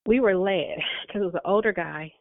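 A woman's voice: medium pitch, brisk, no room sound. A woman in her fifties calling in to share an earnest, rambling testimony, repeating words as she goes. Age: 50s. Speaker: caller. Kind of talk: casual testimony on a call-in prayer line. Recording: tinny phone line.

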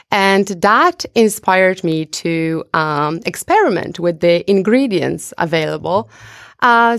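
Smooth, lower-voiced woman, halting phrasing. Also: fairly bright tone, dry recording, clean studio sound. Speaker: interview guest, beauty product founder. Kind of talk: conversational interview answers.

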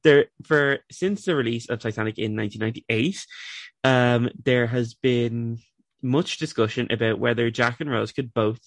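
A young man hosting a podcast, easygoing and quick to laugh, reading pop news in a light, casual way while a bit sniffly. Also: mid-range voice, no echo. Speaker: podcast host.

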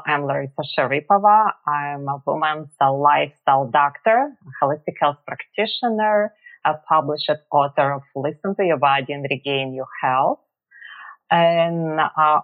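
Guest, woman in her 40s, Russian accent, halting delivery, thin phone-line sound. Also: low voice. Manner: halting, searching for words, frequent pauses. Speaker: podcast guest. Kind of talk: personal story in an interview.

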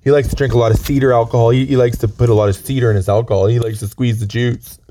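A man, raspy from a chest cold, rambling earnestly and stammering through deadpan comedy chat outdoors on a lookout. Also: mid-range voice, very fast. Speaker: comedy co-host.

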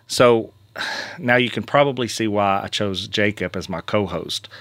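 A man hosts a relaxed podcast chat, joking and rambling. His lowish voice is a little hoarse, recorded close and dry. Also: unhurried, no room sound. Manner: friendly, joking, rambling with fillers. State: slightly hoarse, under the weather. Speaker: podcast host. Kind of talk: casual conversational podcast.

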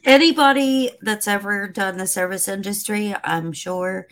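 A woman's voice: medium pitch, a little slow, fairly bright tone, a little hiss or hum. A woman hosting a casual podcast interview, upbeat and encouraging toward her guest. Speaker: podcast host.

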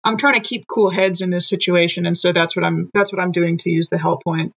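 A woman's voice: fairly low pitch, fairly even intonation, a little fast, breathy edge. A woman playing a tabletop game, chatting casually and asking about the rules. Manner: casual, asks rules questions. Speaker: player. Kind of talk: tabletop roleplay chat. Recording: dull lo-fi mic.

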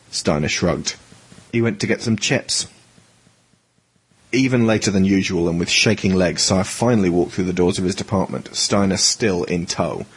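Male narrator, deep and slightly husky, for scripted audio drama storytelling.

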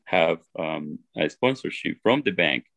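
Gravelly man with an Ecuadorian Spanish accent. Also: low-pitched, expressive, a bit bright, no echo. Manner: earnest, halting, with frequent restarts. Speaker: interview guest. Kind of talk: reflective personal storytelling.

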